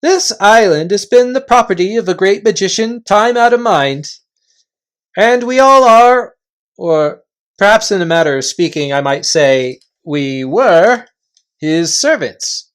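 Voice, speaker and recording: A high, loud invisible chief from a storybook, as acted out by a reader. He is a simple-minded, blustering servant spokesman who rambles in folksy repetitions, his pitch bouncing about. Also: lively intonation, measured pace, close to an everyday voice. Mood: rambling, explanatory.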